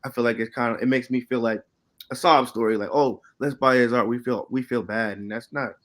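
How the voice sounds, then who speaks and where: A man in his late twenties, an artist, talking casually and haltingly on a panel with lots of "you know". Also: medium pitch, steady tone, a bit bright. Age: late 20s. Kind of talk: casual unscripted artist talk.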